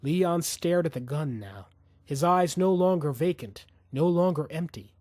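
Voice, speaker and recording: A man's voice: fairly high pitch, lively intonation, measured pace, a little mellow, no room sound. A man narrating fiction as a dramatic audiobook reader, intense and suspenseful.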